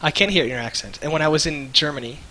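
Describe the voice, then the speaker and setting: Bright-voiced man rambling on a stream. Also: fairly high pitch, rapid-fire, slight background noise, rough recording. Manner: rambling, loose, jokey, hesitant. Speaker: co-host of a live stream. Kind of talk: casual live-stream chat.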